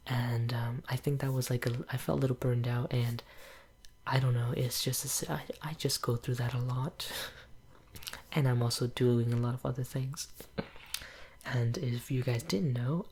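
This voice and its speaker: A young woman whose first language is Cantonese, chatting in a soft ASMR whisper at home, rambling with lots of fillers. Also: a bass voice, slightly rough. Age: young adult. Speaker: ASMR channel creator. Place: home room with green screen.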